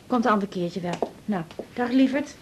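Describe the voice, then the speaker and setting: A woman's voice: medium pitch, moderate pace, a little mellow, close to an everyday voice. A woman playing a fussing, hesitant middle-aged mother in a naturalistic radio drama. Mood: flustered, fond. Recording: clear background noise.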